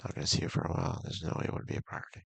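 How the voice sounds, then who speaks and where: A man's voice: racing, fairly bright tone, dry recording, natural voice. A man voicing an earnest, bewildered young male friend in a visual novel, plain and conversational, with music behind. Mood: earnest, puzzled.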